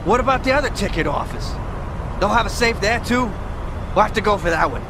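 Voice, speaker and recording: An anxious gangster crewman, very high and creaky for a male voice. It is a crime game scene with clear noise behind. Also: animated, a little fast. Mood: urgent.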